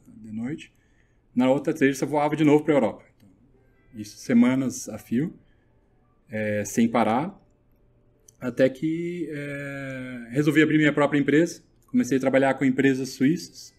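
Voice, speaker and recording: A middle-aged man, telling his story in a relaxed, chatty way as a podcast guest. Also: mid-range voice, slightly rough. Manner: relaxed, chatty, many fillers. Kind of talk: casual interview storytelling.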